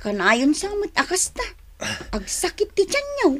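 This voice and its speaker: A woman's voice: very high-pitched, huge pitch swings, a little slow, a bit bright, full character voice. A woman with a Filipino accent playing a chatty, complaining fruit stall worker in a radio drama. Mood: concerned. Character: grumbling, gossipy female fruit stall worker. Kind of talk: Ilocano radio drama acting.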